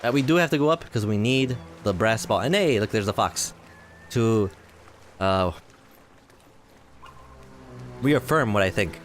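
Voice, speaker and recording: Mid-20s guy chatting over a game, low-ish voice going hoarse, lots of you knows, faint music underneath. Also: animated, normal speed. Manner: rambling, self-deprecating, many fillers. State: voice tiring, slightly hoarse. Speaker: gaming video host. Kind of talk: casual let's-play commentary.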